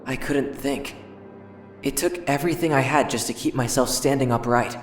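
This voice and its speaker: A man voicing an earnest, anguished high-school boy in an introspective, dramatic anime fandub. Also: moderate pace, reverberant, loud music behind the voice, lightly acted. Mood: bleak, numb.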